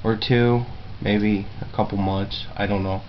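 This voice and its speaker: A man chatting casually to camera as an amateur video blogger, rambling and halting, with a self-deprecating manner. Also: fairly low pitch, flat delivery. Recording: dull lo-fi webcam-style sound, faint noise.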